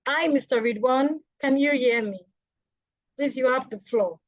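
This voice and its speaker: A woman with a high, bright-sounding pitch hosts an online class for children, eager and talking directly to her young audience. Her voice comes through a computer microphone on a video call, dull and dry with no room around it. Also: measured pace, dry recording. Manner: enthusiastic, addresses children directly. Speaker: webinar host. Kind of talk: upbeat introduction to a kids' class.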